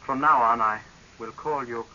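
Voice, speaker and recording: Sharp-voiced, coldly mocking young saboteur. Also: male voice, mid-range voice. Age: young adult. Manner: deliberate, measured, sardonic, menacing. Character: cold, mocking young male saboteur, calmly vengeful. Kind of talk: 1930s radio horror drama acting. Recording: hissy, dull 1930s radio recording.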